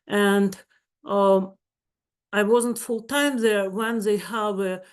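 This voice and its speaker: A woman's voice: medium pitch, steady tone, slow pace, clear, resonant tone. A woman with a Russian accent, a therapist giving a webinar talk in her second language, searching for words and speaking earnestly. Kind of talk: webinar presentation.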